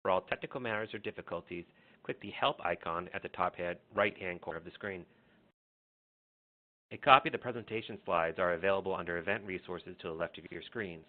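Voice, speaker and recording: Guy reading webinar intro lines, lowish voice, sounds like a phone line. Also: fairly quick. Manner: reads announcements in a steady formal tone. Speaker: webinar moderator. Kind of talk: scripted introduction. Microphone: online webinar connection.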